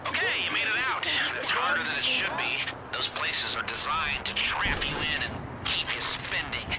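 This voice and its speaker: An actor playing an upbeat heist coordinator giving directions in a video game. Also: male voice, fairly high pitch, very animated, moderate pace, heavy background noise. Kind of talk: video game mission dialogue. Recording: loud game music behind.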